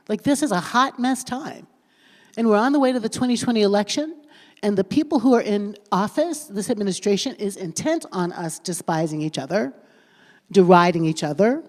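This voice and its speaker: A woman's voice: medium pitch, a little mellow. Earnest woman panelist in a big hall. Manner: earnest, repeats phrases while thinking aloud. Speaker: pastor on a panel. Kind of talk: panel discussion. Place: lecture hall at a conference. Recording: large hall with long reverb.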